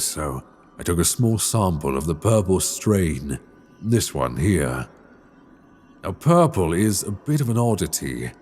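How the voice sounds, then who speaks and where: Man playing a deep, husky dragon king. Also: exaggerated voice. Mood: brooding. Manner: brooding, theatrical, measured storytelling with sighs. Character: ancient male dragon king, regretful, proud, wryly tender. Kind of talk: ASMR dark fantasy audio roleplay. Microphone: close binaural microphone.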